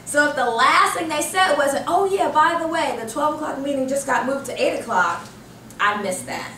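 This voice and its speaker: An American woman presents a workshop in a noticeably high voice. She is animated and emphatic, tossing questions to the group. The sound is echoey and picked up from a distance, with faint noise behind her. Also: moderate pace. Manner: animated, emphatic, asks and answers own questions. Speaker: corporate trainer. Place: indoor training room. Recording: roomy, distant microphone with background noise.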